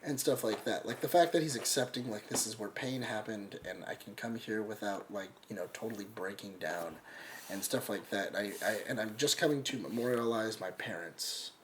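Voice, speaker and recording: Clear background noise and clicks sit behind this man. He co-hosts a podcast, arguing his points casually and often repeating the start of a sentence before carrying on. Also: on the low side, normal speed. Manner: repeats sentence openings while making a point. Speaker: podcast co-host. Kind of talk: casual opinionated discussion.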